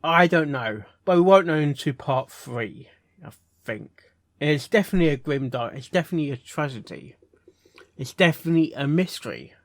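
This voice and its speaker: A man giving casual, unscripted reaction commentary as a host, halting and rambling, often repeating words and phrases. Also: on the high side, expressive, no echo, studio quality. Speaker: host reviewing fan fiction readings.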